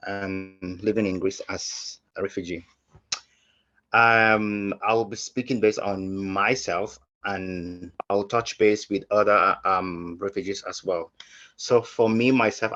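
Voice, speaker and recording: A man from Cameroon speaking on a webinar panel, telling his story earnestly and haltingly, with many fillers and restarts. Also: sits low, fairly even intonation, fairly bright tone. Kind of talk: personal testimony on a webinar panel. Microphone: video call. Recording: slightly muffled lo-fi audio.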